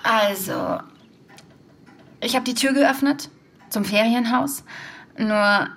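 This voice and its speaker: A curious, gravelly-voiced investigator. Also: female voice, a little high, takes it slowly, heavily acted. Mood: earnest. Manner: probing, gently coaxing, sometimes whispering asides. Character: curious young woman searching for a lost tape. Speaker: podcaster interviewing a witness. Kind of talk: audio drama acting.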